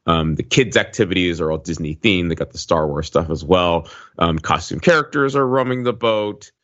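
A man chatting casually as a podcast co-host, halting and hedging, often wandering into asides. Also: sits low, expressive, fairly bright tone. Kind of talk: casual chat. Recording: slightly dull, lo-fi audio.

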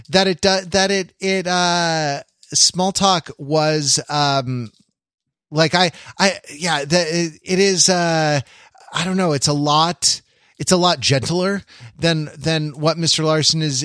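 A man with a slightly high voice talks casually as a podcast co-host, recorded close and dry. He feels his way through each thought haltingly, hedging as he goes. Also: fairly bright tone, no room sound. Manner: halting, thinking aloud, hedging with "like" and "you know". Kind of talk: casual conversational podcast discussion.

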